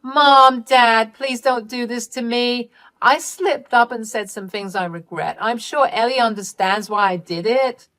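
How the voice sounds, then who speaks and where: A woman voicing a self-pitying young adult sister who pleads and defends herself in a high, strained voice. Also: sing-song, takes it slowly. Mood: pleading.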